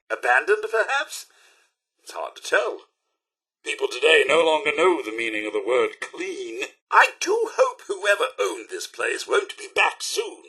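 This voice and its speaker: A man's voice: a little high, bright, forceful tone, breathy edge, exaggerated voice. A man voicing a fussy, devoted robot butler in a video game, with a British accent and a prim, courteous, theatrical delivery. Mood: uneasy, disdainful. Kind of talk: scripted video game companion dialogue.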